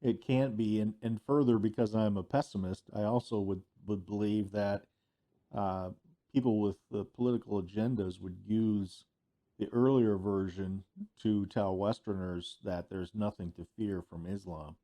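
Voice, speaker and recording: A man's voice: lowish voice, fairly even intonation, normal speed, warm tone. A man hosting an interview who responds agreeably and adds his own remarks. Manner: agreeable, short affirmations. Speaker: interview host. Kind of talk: conversational interview.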